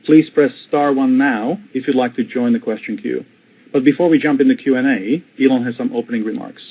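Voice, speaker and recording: A man's voice: medium pitch, a little fast, a little hiss or hum. A man reading a formal, scripted introduction to a corporate conference call as investor relations director. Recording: tinny, phone-like conference call line.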